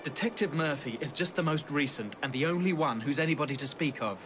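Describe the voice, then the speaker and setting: A man's voice: a little high, expressive, fast talker, over music, natural voice. An actor playing a concerned, matter-of-fact Victorian London policeman with an English accent in a video game. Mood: earnest, informative. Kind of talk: video game cutscene acting.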